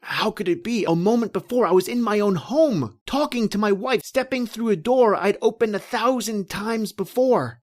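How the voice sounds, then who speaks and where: A man's voice: sits high, moderate pace, few pauses, pitched above the performer's own voice. A man playing a tormented, lost husband recounting his doom in a brooding, dramatic old-time radio horror style. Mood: frightened, bewildered.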